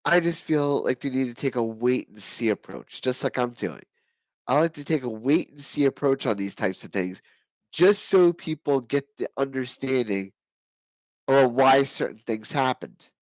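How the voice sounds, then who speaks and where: Hoarse male vlogger, mid-pitched, rambling delivery full of restarts, dry close sound. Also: expressive, normal speed, dry recording, rough recording. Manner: rambling, with many restarts and fillers. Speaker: wrestling predictions vlogger. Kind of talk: casual solo predictions talk.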